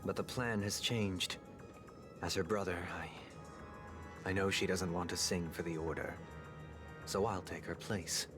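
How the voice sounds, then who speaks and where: An actor playing a resolute, composed young zealot in a video game, with a poised, formal, theatrical delivery. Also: male voice, low voice, fairly even intonation, a little slow, long pauses. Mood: defiant, composed. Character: resolute young male zealot, devoted brother, composed and lofty. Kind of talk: dramatic video game acting. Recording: game audio under loud music.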